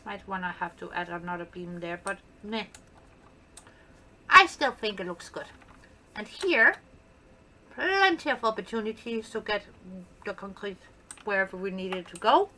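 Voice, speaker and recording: A woman's voice: medium pitch, expressive, normal speed, pauses often, bright, forceful tone, a little hiss or hum, rough recording. A woman with a Danish accent, a native Danish speaker, chatting casually and playfully as she shows off her build in gameplay commentary. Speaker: gaming channel host.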